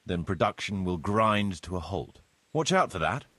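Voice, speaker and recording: Video game role: practical, courteous village steward, husky and measured, recorded close and dry. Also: male voice, deep voice, very animated, pushed and bright, no echo, heavily acted. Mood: explanatory. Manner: measured, formal, instructive. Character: practical medieval village steward, courteous, businesslike adult man. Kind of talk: video game cutscene dialogue, explanatory.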